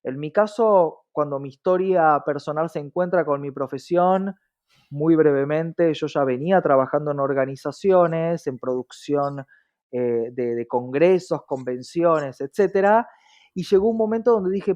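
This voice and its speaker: A man's voice: on the high side, moderate pace, darkish tone. An Argentine man speaking reflectively as an interview guest, often adding short tag questions to check in, on a dull lo-fi recording. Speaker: podcast guest, diversity professional.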